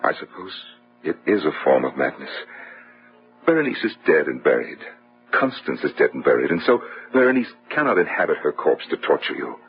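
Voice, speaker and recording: An actor playing a sensible, weary companion in an old-time radio horror drama, with a firm, reasoning manner. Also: male voice, very deep voice, sing-song, a little fast, faint music behind, exaggerated voice. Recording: muffled, noisy old radio recording.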